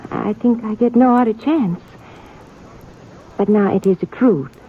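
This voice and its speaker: A woman's voice: fairly high pitch, measured pace, lightly acted. An actress playing an earnest young immigrant woman in a 1950s radio western, speaking careful, simple phrases. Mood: pleading. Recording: tinny, noisy old radio recording.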